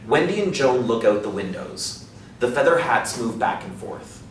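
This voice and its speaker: A man's voice: mid-range voice, big pitch swings, moderate pace. A man in his thirties reading scripted stage directions as narrator of a play reading. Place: small indoor room, seated. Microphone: distant camera. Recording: echoey room, distant mic, faint noise.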